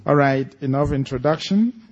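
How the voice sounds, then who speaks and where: A man's voice: on the high side, normal speed, breathy edge, highs cut off. A man with a Nigerian accent, an author speaking and reading aloud to an audience at a live event. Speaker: author reading from his own story at an event.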